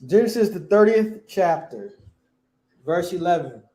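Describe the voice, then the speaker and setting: A man's voice: high-pitched, expressive, unhurried, dark tone, echoey room. A man teaching a class, quizzing his students and repeating key words.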